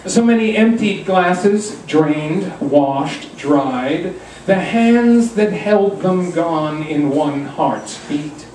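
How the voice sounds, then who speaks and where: A man's voice: on the high side, animated, a little mellow. Echoey, distant man reading poetry theatrically. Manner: theatrical, deliberate verse delivery with wry asides. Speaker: poet reading at a launch. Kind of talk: dramatic live poetry reading. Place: pub reading room, indoors. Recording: echoey room, distant mic, faint noise.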